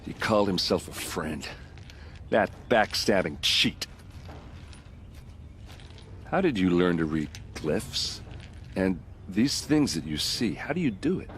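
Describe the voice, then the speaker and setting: An actor playing a gruff, wry and earnest warrior in a video game. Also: male voice, deep voice, animated, takes it slowly, pauses often, a bit bright, slight background noise, exaggerated voice. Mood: surprised, indignant. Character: gruff, wry warrior man, grieving and loyal.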